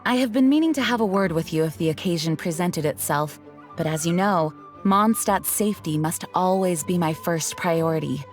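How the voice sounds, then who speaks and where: A woman's voice: on the low side, close to an everyday voice. A woman playing a composed, dutiful knight commander in a video game, speaking formally and earnestly. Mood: courteous, serious. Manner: measured, formal, diplomatic. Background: background music.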